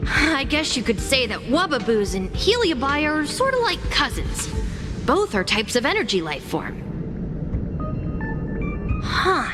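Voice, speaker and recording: A woman playing an excitable, hesitant space station researcher who thinks aloud in a video game. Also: sits high, measured pace, long pauses. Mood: eager. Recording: background music behind the voice.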